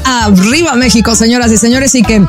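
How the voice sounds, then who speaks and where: A woman's voice: a little high, brisk. Chatty Mexican woman radio host. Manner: chatty, slangy, upbeat, talks straight to listeners. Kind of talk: lively casual radio chat. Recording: radio broadcast with music underneath. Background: music.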